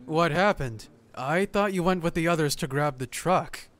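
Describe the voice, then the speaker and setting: A man playing an earnest, stubborn, intense young man in an animated webcomic dub. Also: on the high side, moderate pace, natural voice. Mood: distressed, demanding. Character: earnest, stubborn young man desperate about his brother.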